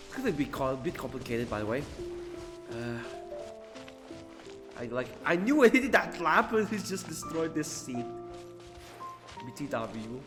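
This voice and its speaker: A man streaming a video game with casual commentary. He talks slowly in a mid-pitched voice, and the game's music is loud behind him. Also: very animated.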